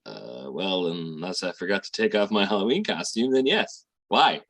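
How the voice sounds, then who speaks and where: A man playing a slangy, squeamish small-time schemer with a raspy voice in a 1940s-style radio comedy. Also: low-pitched, animated, moderate pace, fairly bright tone, full character voice. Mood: flustered.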